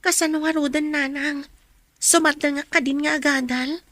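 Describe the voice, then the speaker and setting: An actress playing a gentle, dutiful young student daughter in an Ilocano radio drama. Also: female voice, sits high, fairly even intonation, normal speed, no room sound. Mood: earnest, concerned.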